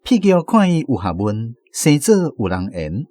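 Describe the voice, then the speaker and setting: A man's voice: mid-range voice, wildly swooping pitch, normal speed, a little mellow, no room sound, clean studio sound. A man with a Taiwanese accent reading scripted literary narration as the narrator of a radio drama.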